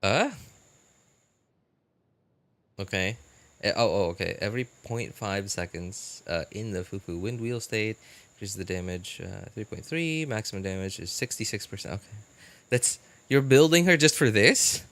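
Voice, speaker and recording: A man casually chatting as a live-stream host, answering viewers in a halting way and repeating his phrases. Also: on the low side. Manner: halting, repeats phrases, animated incredulous reactions.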